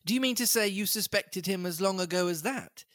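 A man's voice: high voice, brisk, a bit bright, dry recording, voice pushed up. Man voicing earnest young Englishman, plain, grumbling, dry mic.

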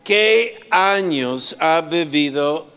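Slow, smooth-voiced male preacher. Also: a little high, animated, bright, forceful tone. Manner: slow, with many pauses and restarts; humorous asides. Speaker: guest preacher. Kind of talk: sermon.

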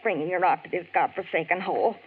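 A woman's voice: fairly low pitch, quick pace, a little hiss or hum, lightly acted. A woman playing a desperate young woman trapped at a desert gas station, pleading and frantic, in a 1940s radio drama. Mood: bitter. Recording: tinny old radio broadcast.

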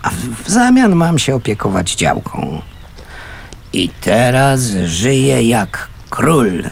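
A kindly, scrappy vagrant man in a radio play. He drawls slowly in a gravelly, mid-pitched voice, wry and colloquial. The recording carries a faint noise. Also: big pitch swings, full character voice. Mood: content, wry. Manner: colloquial, gruff but gentle, wry. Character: kindly, scrappy homeless man who scavenges junk.

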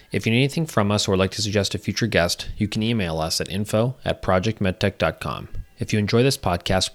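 A male podcast host with a low voice. He reads an opening script, then talks with his guest in a hesitant way, full of fillers. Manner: hesitant, many fillers in talk. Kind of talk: scripted intro, then casual interview.

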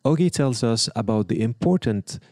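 A man's voice: medium pitch, animated, moderate pace, a little mellow, no echo, clean studio sound. A man hosting an interview, who reads a formal introduction of his guest and then asks loose, rambling questions. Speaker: podcast host.

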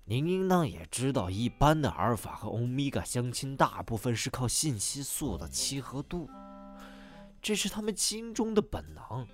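A man's voice: mid-range voice, very animated, normal speed. A man playing a polite, fussy scientist who explains things formally and with awkward tact in an audio drama. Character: polite, fussy male scientist acting as matchmaking consultant. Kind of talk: Chinese audio drama acting. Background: music.

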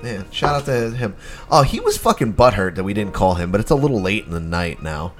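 A man with a raspy voice co-hosting a podcast, chatting in a relaxed, profane way. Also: lowish voice, a little fast. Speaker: podcast co-host. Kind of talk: casual chat. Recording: loud music playing behind.

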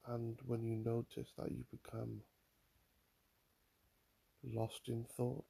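A man guiding a mindfulness meditation in a calm, soothing manner, leaving long pauses between phrases. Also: mid-range voice, gravelly, slightly rough. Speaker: mindfulness guide. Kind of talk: guided meditation, body scan.

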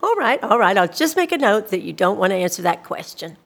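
A woman's voice: medium pitch, sing-song, brisk, gravelly, a bit bright, husky, heavily acted. A female social worker in a radio play. She speaks with careful politeness and an officious edge. Her manner is evasive and soothing, yet she keeps pressing. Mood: reassuring, insistent. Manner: politely insistent, bureaucratic, soothing reassurances. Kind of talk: scripted audio drama dialogue.

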